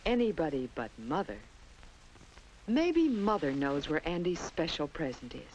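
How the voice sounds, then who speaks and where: An American woman with a fairly low voice narrates an educational film from the 1950s. Her delivery is very animated, phrased simply as a story for young children. The old soundtrack carries faint noise. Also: a little slow, poor audio.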